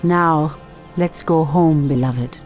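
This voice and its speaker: An actress playing a valiant elf warrior priestess in a fantasy game, with a formal, theatrical delivery. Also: female voice, deep voice, slow pace, a little mellow, faint music behind. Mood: gentle. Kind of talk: dramatic fantasy game acting.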